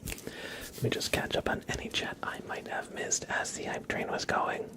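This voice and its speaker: A man hosting an ASMR livestream, speaking softly and soothingly in a breathy whisper to his viewers. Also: moderate pace. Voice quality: breathy whisper, often unvoiced.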